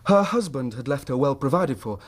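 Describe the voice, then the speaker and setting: A man's voice: mid-range voice, expressive, brisk, natural voice. An actor playing an earnest, troubled middle-class English husband telling his story in formal period language for a radio drama.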